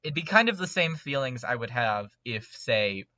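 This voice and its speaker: A man's voice: medium pitch, sing-song, moderate pace, pushed and bright, dull audio. A man chatting casually and enthusiastically as a panelist in a fan discussion. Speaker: podcast panelist.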